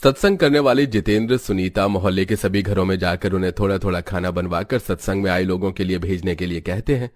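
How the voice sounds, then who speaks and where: A man's voice: deep voice, a little fast, hardly any pauses, dry recording. A man reading scripted narration for a family cartoon story.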